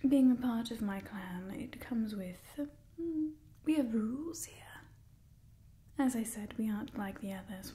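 Whispering, imperious vampire woman acted by a woman, slow. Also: a little high, mellow, a little hiss or hum. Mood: proud. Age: young adult. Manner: breathy whisper, slow and theatrical. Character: imperious, doting old vampire woman, overseer of her clan. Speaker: ASMR performer. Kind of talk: whispered ASMR roleplay.

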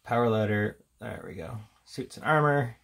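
A man's voice: medium pitch, moderate pace, gravelly, fairly bright tone, a little hiss or hum. A man giving a casual, unscripted, rambling commentary as a tabletop game reviewer, reading out headings between offhand remarks.

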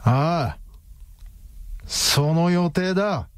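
An actor playing a tough, cool-headed, defiant private detective in a radio drama, speaking curtly and with command. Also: male voice, on the high side, lively intonation, extremely slow, a little hiss or hum. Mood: resolute. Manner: curt, commanding.

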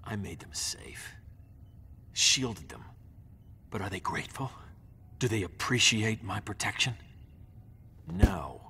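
A superhero-turned-dictator in a video game, speaking in a low, husky, bright-edged voice. His delivery is cold and commanding, and his pitch swings widely between quiet menace and sharp orders. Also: big pitch swings, slow pace, long pauses, heavily acted. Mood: bitter, menacing. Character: tyrannical male superhero turned ruthless dictator.

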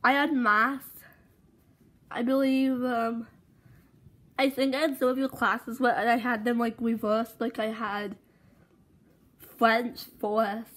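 Woman voicing a shy mumbling schoolboy, unsure, phone-recorded toy video, fairly high. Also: level tone, slow, pauses often. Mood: hesitant. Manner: hesitant, trailing off, quiet. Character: forgetful teenage male student, mumbly and shy.

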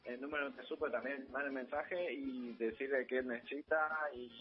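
A man's voice: medium pitch, fairly even intonation, normal speed. Male shopkeeper on a call: polite, hesitant, casual, slightly lo-fi phone sound. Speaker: supermarket owner taking a phone call. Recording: dull phone audio, faint music behind.

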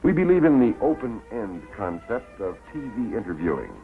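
An American man narrating a 1950s promotional documentary in a measured, deliberate way with dramatic pauses. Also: on the low side, very animated. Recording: tinny old film soundtrack, faint music.

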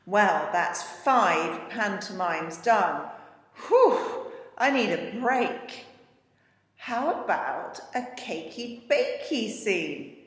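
A woman's voice: medium pitch, animated, extremely slow, a bit bright. A middle-aged woman playing a cheerful pantomime narrator with theatrical, scripted presenting. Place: indoor room, virtual curtain backdrop. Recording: echoey room with long reverb.

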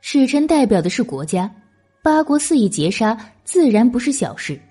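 A woman's voice: on the high side, big pitch swings, normal speed, warm tone, husky, faint music behind. A woman narrating a historical novel as a scripted audiobook.

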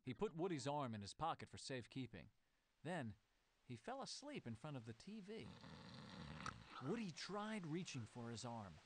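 Animated high-pitched male storybook narrator. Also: moderate pace, crowd noise. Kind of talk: scripted children's storybook narration.

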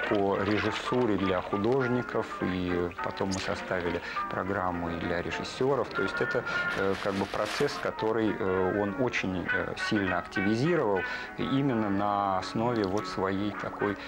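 A middle-aged man speaking haltingly in a documentary interview, pausing often as he phrases his thoughts. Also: on the low side, low-quality recording. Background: loud music.

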